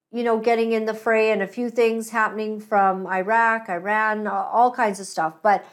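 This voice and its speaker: The higher-pitched woman you'd hear hosting an independent online talk show, laying out her commentary in short, deliberate phrases. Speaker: talk show host and interviewer. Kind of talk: conversational commentary with Bible reading, introducing a guest.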